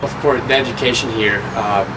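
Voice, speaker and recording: A man from Sweden, a student giving plain, halting interview answers. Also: mid-range voice, fairly even intonation. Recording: distant mic, loud noise.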